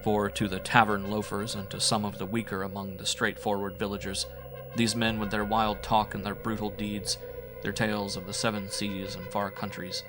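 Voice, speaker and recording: A man's voice: on the low side, little pitch movement, moderate pace, poor audio. A man narrating a horror audiobook in a theatrical, brooding, old-fashioned storytelling manner. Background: music.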